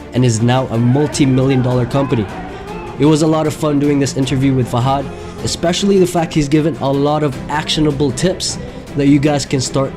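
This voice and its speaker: Male podcast host over clear music. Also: mid-range voice. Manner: friendly, hesitant questioning with fillers. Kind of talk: scripted intro and interview questions.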